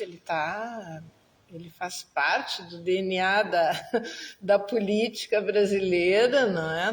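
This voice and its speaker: A woman lecturer speaking off the cuff as a guest, halting and often adding tag questions, in a thank-you speech. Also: mid-range voice.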